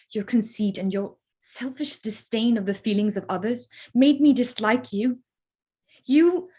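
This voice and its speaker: A woman acts out a proud young gentlewoman in a Regency drama reading. Her voice has a slightly husky edge and sits at an ordinary female pitch. She phrases everything formally and pointedly, with sharp-tongued indignation. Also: lightly acted. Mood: cold fury. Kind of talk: staged reading of a period drama.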